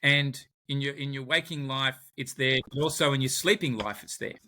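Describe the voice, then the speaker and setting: A man's voice: mid-range voice, steady tone, normal speed, bright, forceful tone. An Australian man, a podcast guest, talking in a conversational interview and often repeating words as he searches for his phrasing.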